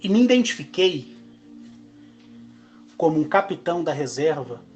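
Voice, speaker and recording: A Brazilian man in his forties, speaking in a slow, emphatic monologue broken into short phrases. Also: on the high side, sing-song, a little mellow, soft background music. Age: 40s. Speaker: channel host. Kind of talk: monologue to camera.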